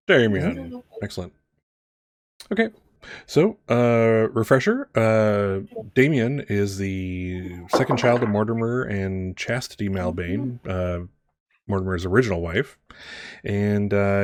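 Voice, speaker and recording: Man, game master, lowish voice, rambling casual narration, self-correcting, dry sound. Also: lively intonation, slow pace, darkish tone, no room sound. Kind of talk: casual narration of a tabletop role-playing game.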